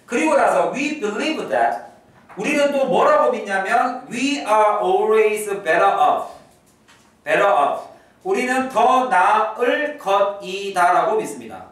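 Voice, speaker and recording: A male English teacher giving an exam prep lecture, repeating key phrases slowly and drilling his students. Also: high voice, expressive, a bit bright, very echoey, distant mic, poor audio.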